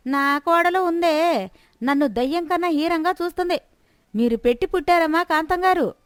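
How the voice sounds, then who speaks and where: Woman playing older village gossip, very high voice, exclamatory, gushing, storybook style. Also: moderate pace, no room sound, studio quality. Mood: gushing admiration. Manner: exclamatory, dramatic.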